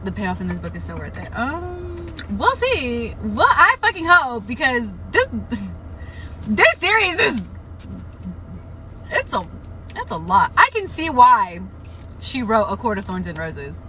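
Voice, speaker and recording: A woman's voice: on the high side, very animated, takes it slowly, bright, forceful tone. Chatty young woman with a strained voice. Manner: chatty, frank, swears freely, many "like" fillers. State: hoarse, losing her voice. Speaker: book livestreamer. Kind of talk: casual live-stream book chat.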